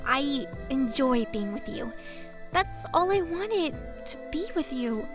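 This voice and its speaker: A woman playing a proud, kind high school girl from a poor family in a manga dub. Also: expressive, takes it slowly, music in the background. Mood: tender.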